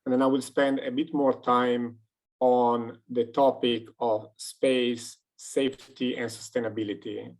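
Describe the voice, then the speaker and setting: Italian-accented man giving a lecture. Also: mid-range voice. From Italy. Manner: measured, explanatory, walks through slides. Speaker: professor giving a seminar. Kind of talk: webinar lecture. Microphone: computer mic on a video call.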